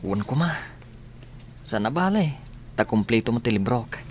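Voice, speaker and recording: A man's voice: mid-range voice, animated, moderate pace, close to an everyday voice. Subdued, determined schoolboy played by a man. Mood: earnest. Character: gentle, determined ailing schoolboy, devoted son. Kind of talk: radio drama acting. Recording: faint background ambience and noise.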